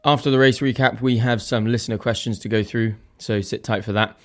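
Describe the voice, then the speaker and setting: A young man hosting his own podcast, a little croaky, chatting casually and thinking aloud in a self-deprecating way. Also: medium pitch, steady tone, fairly quick. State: slightly croaky voice. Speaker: podcast host. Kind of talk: casual solo podcast chat.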